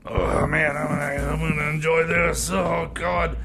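A man playing a flustered, stammering radio DJ in a comedy radio sketch, with a gravelly voice. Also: a little high, little pitch movement, measured pace. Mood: weary. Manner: stammering, repeats words, flustered. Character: flustered, long-suffering radio DJ, easily pained. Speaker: radio show host. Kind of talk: improvised comedy radio theater. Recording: music playing behind the voice.

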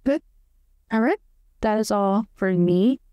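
A woman's voice: mid-range voice, slow, clear, resonant tone, dull audio. A young woman asking interview questions as a student host. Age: teens to young adult. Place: indoor school studio with curtain backdrop.

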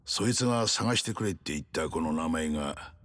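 An actor playing a blunt, weary, middle-aged male detective in a video game drama, with a husky voice and rough speech. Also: a bass voice, expressive, a little fast, fairly bright tone, no echo, full character voice. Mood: matter-of-fact.

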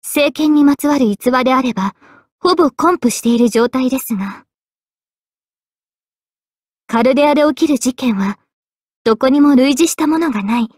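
A woman voicing a young, regal and gentle guardian spirit of a holy sword in an anime game, speaking politely and with measured poise. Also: high voice, husky, no room sound, clean studio sound. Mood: composed, earnest. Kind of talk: Japanese anime game voice acting. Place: studio. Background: faint background music.

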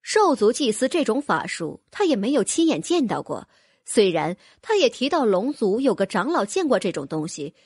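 A woman narrating a fantasy audiobook with a lively, expressive reading. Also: a little high, normal speed, husky, dry recording, studio quality. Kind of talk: scripted narration.

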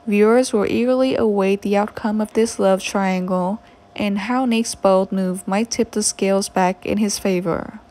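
A woman reading scripted entertainment narration in a smooth, even read-aloud delivery. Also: medium pitch. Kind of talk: scripted narration of soap opera spoilers.

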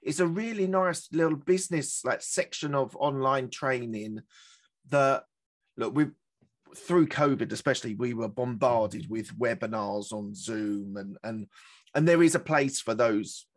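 A man's voice: mid-range voice, measured pace, dry recording. A man hosting a business podcast interview, asking questions in a chatty way with rambling asides. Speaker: podcast host.

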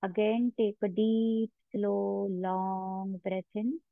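A woman guiding a meditation as a yoga instructor, giving measured instructions with long pauses between them. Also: mid-range voice, little pitch movement, gravelly, no echo. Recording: narrow phone-like sound, very dry.

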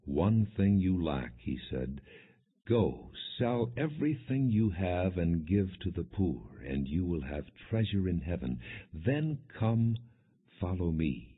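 A man narrating scripture as an audiobook in a measured, deliberate, expressive way. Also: sits low, husky. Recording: muffled, phone-like band-limited audio.

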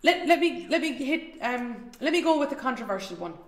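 A woman's voice: sits high, expressive, a little fast. Woman hosting, roomy call audio. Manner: rambling, restarting questions. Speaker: interview show host. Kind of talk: video call interview. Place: home room, indoors.

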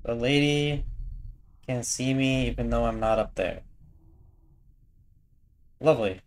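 A man's voice: mid-range voice, slow pace, a little hiss or hum. A young man giving casual, off-the-cuff reaction commentary from a small room indoors. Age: young adult. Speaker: reaction video host.

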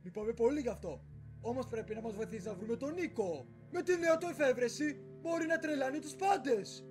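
A man's voice: very high-pitched, expressive, over music. A man voicing a bossy, earnest floating mask spirit sidekick in a cartoon parody dub, urging others on. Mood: pleading, earnest.

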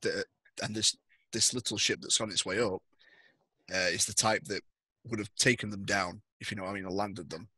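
Bright, husky-voiced man running a game. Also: on the low side, steady tone, fairly quick, dry recording. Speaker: game master. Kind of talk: narrating a tabletop roleplaying game. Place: indoors, on a group video call.

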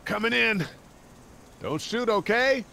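An actor playing a wary but gentle man in his late thirties in a zombie video game, speaking in a hesitant, low-key way. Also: lively intonation, slow, creaky, background noise, heavily acted. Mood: anxious, calling out. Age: 30s. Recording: game audio with loud background ambience.

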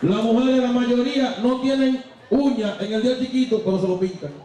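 A man's voice: very high-pitched, steady tone, fairly quick, mellow, a little hiss or hum. A man presenting an award on stage, joking and teasing the crowd through a handheld microphone in a large hall. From Dominican Republic. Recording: echoey hall, from far off.

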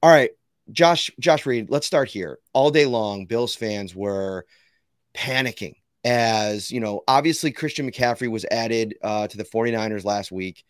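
A man in his thirties hosts a casual sports podcast from a room at home, his voice a little hoarse. He talks in an upbeat, joking way and laughs easily. The sound is dry and close, with almost no room echo. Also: on the low side, a little slow. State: slightly hoarse. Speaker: podcast host.

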